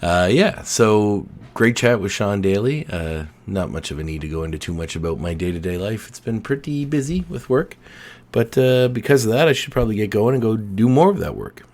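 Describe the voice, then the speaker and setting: Hoarse, low-voiced male podcast host. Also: expressive, moderate pace, a little mellow. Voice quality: baritone. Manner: laid-back, filler-heavy rambling. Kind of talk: casual podcast chat.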